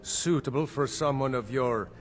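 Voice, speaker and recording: An actor playing a stern middle-aged Jarl in a fantasy video game, speaking formally and with authority. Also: male voice, medium pitch, moderate pace, faint music behind, lightly acted. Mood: stately, grave.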